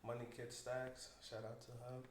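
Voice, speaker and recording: A young man from the Dominican Republic chats about making beats in a relaxed, matter-of-fact tone. His voice is flat and even in pitch. It sounds roomy and a little far from the mic, with faint noise underneath. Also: moderate pace. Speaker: music producer guest. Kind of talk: casual podcast chat.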